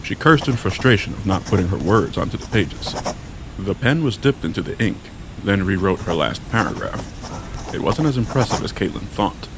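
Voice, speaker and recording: Low-voiced man narrating a gothic drama. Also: animated, moderate pace, background noise. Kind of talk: scripted storybook narration of a gothic audio drama.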